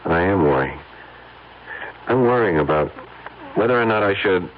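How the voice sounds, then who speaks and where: Casting: anxious, conscience-stricken businessman, hesitant and expressive, radio play, thin noisy vintage recording. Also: low-pitched, normal speed, narrow, tinny audio. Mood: anguished. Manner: hesitant, earnest. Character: troubled, guilt-ridden businessman haunted by his conscience. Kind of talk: old-time radio drama acting.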